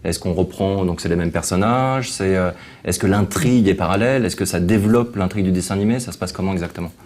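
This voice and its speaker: A man's voice: sits low, quick pace, darkish tone. A man hosting a show, asking casual interview questions and chiming in with short acknowledgements.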